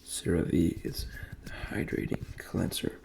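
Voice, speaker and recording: A young man from Denmark speaking soft, breathy ASMR in a gentle near-whisper, repeating phrases as he talks in a personal, motivational way. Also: slow pace, background noise. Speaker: ASMR creator and host.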